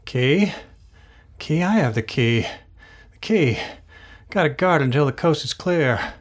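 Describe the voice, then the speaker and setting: An actor playing a feverish, delirious husband in an old-time radio drama, mumbling and rambling paranoid lines in whispers. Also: male voice, on the high side, expressive, slow pace.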